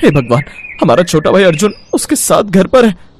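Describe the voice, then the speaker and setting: A man voicing a respectful, earnest and excitable young village farmer in a storybook tale. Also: high-pitched, normal speed, slight background noise, pitched above the performer's own voice. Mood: shocked.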